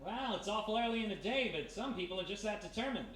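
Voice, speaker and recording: A woman's voice: mid-range voice, animated, fast talker, close to an everyday voice. A woman playing a cocky, wisecracking male ex-thief turned private eye, with breezy banter in old radio detective style. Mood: cocky, wry. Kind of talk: 1940s radio detective acting. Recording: echoey live room, distant mic, faint noise.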